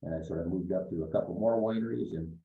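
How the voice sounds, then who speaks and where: An older man from Northern California, a winemaker explaining wines in a relaxed way with many fillers, recorded from a distance. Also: deep voice, fast talker, gravelly. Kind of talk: explaining wines in a virtual tasting. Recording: distant, echoey, phone-like sound.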